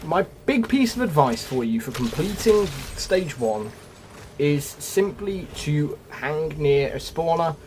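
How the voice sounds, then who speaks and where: A man's voice: a little high, sing-song, slow, a little mellow, a little hiss or hum. A man hosting a gaming guide, explaining things step by step to viewers in a scripted narration style. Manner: explanatory, addresses viewers as folks. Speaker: gaming video host. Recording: music under the voice. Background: music.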